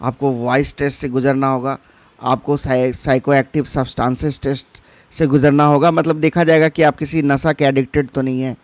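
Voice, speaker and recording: A man's voice: medium pitch, flat delivery, normal speed, no room sound. A man presenting an informational explainer, instructing viewers directly and mixing English terms into his speech. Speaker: exam-preparation channel host. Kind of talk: informational explainer for exam candidates.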